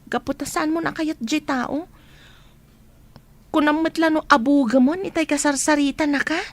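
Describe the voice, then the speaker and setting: A woman's voice: high-pitched, steady tone, moderate pace, no room sound. A woman playing a prying, teasing young student friend in an Ilocano radio drama. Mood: prying, reproachful.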